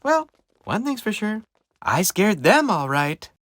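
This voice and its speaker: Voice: medium pitch, very animated, slow, a bit bright, dry recording, voice pushed up. A performer voicing a kind, cheerful pumpkin come to life in a children's storybook, sweet and eager to please. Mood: delighted.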